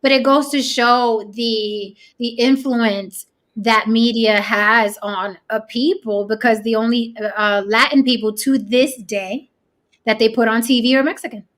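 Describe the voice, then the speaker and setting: A woman's voice: on the high side, steady tone, a little slow, fairly bright tone. A woman from Puerto Rico hosting a casual chat, talking bluntly and swearing freely. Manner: blunt, profane, emphatic. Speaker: show host.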